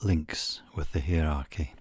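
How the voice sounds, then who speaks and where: A man leads a guided meditation in a deep, mellow voice. His delivery is very unhurried and soothing, in short measured phrases with pauses. The tone is soft and breathy, often fading toward a whisper. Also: steady tone, no room sound.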